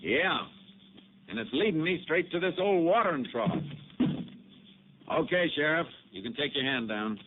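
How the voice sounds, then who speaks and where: An actor playing a calm, methodical male Texas Ranger detective in a 1950s radio drama, asking measured questions. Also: on the high side, natural voice. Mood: steady, probing. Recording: tinny lo-fi old radio broadcast with faint hiss.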